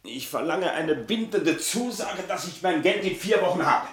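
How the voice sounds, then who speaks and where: An actor playing a sickly, scheming retired officer in a radio play, pompous and wheedling in stilted, flowery speech. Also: male voice, high-pitched, expressive, normal speed, slightly rough, full character voice. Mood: demanding. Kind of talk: German radio play acting.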